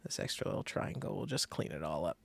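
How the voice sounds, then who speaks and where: A man giving a casual step-by-step software tutorial, thinking aloud informally as he demonstrates. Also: rumbling low, very animated, fast, gravelly. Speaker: design tutorial instructor.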